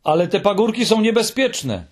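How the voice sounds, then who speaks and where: A man's voice: a little high, big pitch swings, measured pace, creaky, husky, voice pushed up, full character voice. A man voicing a nervous, earnest young male troll creature in an audiobook, speaking in an anxious, pleading way. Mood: urgent. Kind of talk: audiobook character voice.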